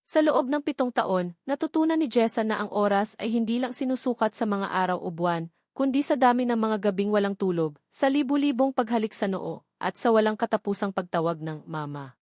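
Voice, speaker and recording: A woman's voice: a little high, lively intonation, brisk, a little mellow, no room sound. Close, dry Filipino woman storyteller. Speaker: narrator. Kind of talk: dramatic scripted audio story narration.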